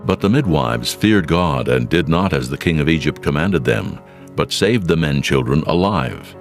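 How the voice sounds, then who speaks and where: Very deep, gravelly male narrator. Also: lively intonation, soft background music. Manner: solemn, deliberate. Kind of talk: dramatized scripture narration.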